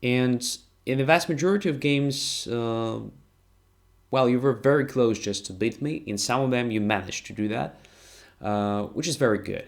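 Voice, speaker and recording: A man with a Ukrainian accent commentating live on chess, thinking aloud in short, halting phrases. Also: mid-range voice, creaky. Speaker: chess streamer and international master. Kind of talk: live game commentary and analysis.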